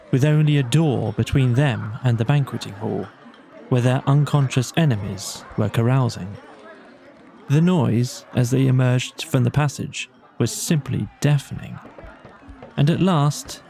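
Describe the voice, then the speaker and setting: A man narrating a bedtime storybook in a measured, dramatic storytelling manner. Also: medium pitch, vocal fry, warm tone, slight background noise. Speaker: audiobook narrator.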